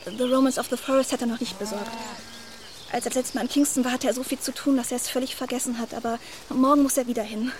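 A woman's voice: on the high side, fairly even intonation, fast, a little hiss or hum, natural voice. An actress playing a naive, eager and deferential young woman in a radio play, artless and hesitant in her speech. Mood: flustered, excited.

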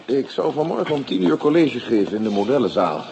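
An actor playing a courteous, pedantic anatomy professor in a Dutch radio play, speaking formally and at scholarly length. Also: male voice, medium pitch, very animated, fairly quick, gravelly, highs cut off, background noise. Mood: formal, obliging. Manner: formal, scholarly, long-winded.

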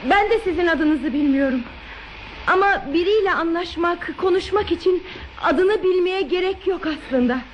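A female actor playing a lonely, anxious, gentle woman in a radio play, speaking softly and hesitantly with earnest theatrical delivery. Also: extremely high pitch, normal speed, dull audio, slight background noise. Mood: tender, reflective.

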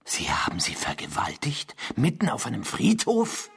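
A man's voice: medium pitch, wildly swooping pitch, normal speed, creaky, a bit bright, breathy edge, in a whisper, heavily acted. An actor playing a disgusted, sarcastic man who is made to listen to a confession in a German radio drama, answering with biting indignation. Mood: appalled.

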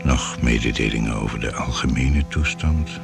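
An actor as a radio-play narrator and interviewer, clipped, formal and solemn. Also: male voice, extremely low pitch, moderate pace, vocal fry, faint music behind. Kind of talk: scripted radio drama narration.

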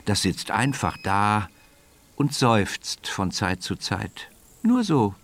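Deep, gravelly, very expressive male narrator. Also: slow. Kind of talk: scripted children's story narration.

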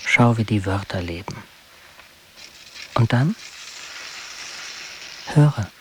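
An actor playing a gentle, weary dreamer who talks solemnly and with measured wonder in a poetic radio play. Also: male voice, fairly low pitch, lively intonation. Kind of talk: poetic radio drama dialogue. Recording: clearly hissy background.

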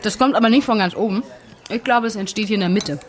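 An actress playing an indignant, stubborn German expatriate in a conversational radio drama, talking bluntly and insistently. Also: female voice, mid-range voice, quick pace, close to an everyday voice. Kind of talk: conversational documentary drama, arguing.

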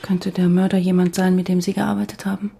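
A woman's voice: fairly low pitch, little pitch movement, fairly quick, gravelly, mellow, husky. An actress playing a sober, direct female journalist who questions someone in a radio crime drama. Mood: probing, sober. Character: probing, matter-of-fact female journalist interviewing a victim.

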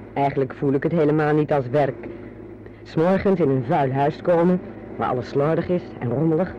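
A woman's voice: deep voice, moderate pace, natural voice. A woman playing a polite, earnest, contented cleaning lady in a radio drama. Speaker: radio play performer. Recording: muffled radio play with loud vehicle noise.

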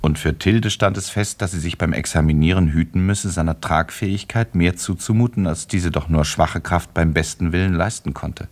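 A man narrating a literary radio play as a composed, dryly ironic storyteller, with a husky voice in measured delivery. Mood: composed, wry. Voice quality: very deep, husky. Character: wry, composed male storyteller of a literary novel.

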